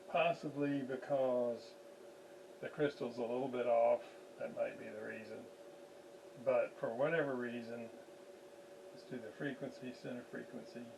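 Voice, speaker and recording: A man giving an unscripted hands-on technical demonstration, haltingly thinking aloud as he works at radio repair. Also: medium pitch, vocal fry, background noise.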